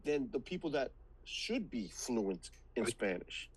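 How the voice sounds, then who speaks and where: A man's voice: mid-range voice, sing-song, slow pace, slightly rough, rough recording. A man co-hosting a podcast, chatting casually about movies and laughing often. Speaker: podcast co-host.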